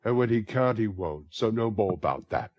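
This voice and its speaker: A man's voice: lowish voice, expressive, normal speed, no room sound, dull audio, pitched above the performer's own voice. A man voicing a defiant, drunken young woman who answers bitterly and languidly. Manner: bitter, languid, slurring hints.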